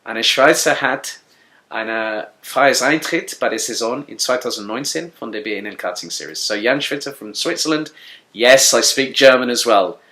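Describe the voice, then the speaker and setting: Male presenter, mid-pitched, bright and forceful, cheerful news-update delivery. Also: lively intonation, normal speed. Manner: upbeat, frequent "uh" fillers, congratulatory. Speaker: series speaker and presenter.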